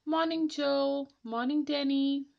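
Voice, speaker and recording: A woman's voice: high voice, steady tone, slow pace, creaky, highs cut off, pitched above the performer's own voice, exaggerated voice. A woman voicing simple storybook villagers in short, emphatic phrases. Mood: cheerful, grateful. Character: cheerful, simple villagers greeting and answering a dragon.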